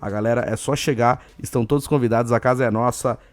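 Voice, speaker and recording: A man with a Brazilian accent hosting a casual solo podcast, hoarse and chatty, giving listeners shout-outs. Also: medium pitch, fairly even intonation, fast, no room sound. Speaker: podcast host.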